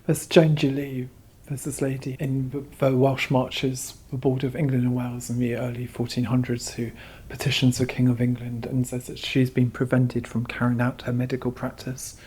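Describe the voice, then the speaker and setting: A man's voice: medium pitch, completely flat delivery, moderate pace, a little mellow, slightly rough, rough recording. A man speaking as a curator in an interview, giving long, discursive explanations. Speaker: exhibition curator, interview guest.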